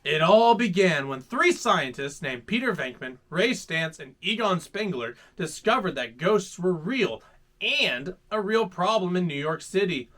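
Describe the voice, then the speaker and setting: A man hosting a children's story time, reading aloud in a playful, dramatic, animated way. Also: sits high, a little slow. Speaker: host reading children's stories.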